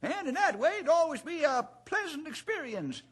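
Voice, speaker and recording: A man's voice: very high-pitched, big pitch swings, normal speed, fairly bright tone, exaggerated voice. A male actor playing a boastful, folksy old-timer with a cracked voice and a blustering rustic manner in a radio drama. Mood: folksy, eager. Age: older.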